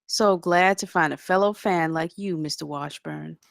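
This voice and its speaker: A woman at a table read, playing a sharp, candid and skeptical male engineer. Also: fairly low pitch, moderate pace, creaky, no room sound, clean studio sound. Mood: delighted.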